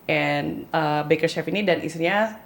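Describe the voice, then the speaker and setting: A woman's voice: low voice, moderate pace, creaky. A woman in her thirties with a Bandung accent, a business-owner guest chatting casually, telling her story with laughs and acted-out quotes. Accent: Bandung Indonesian. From Indonesia. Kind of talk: casual podcast conversation, mixing Indonesian and English.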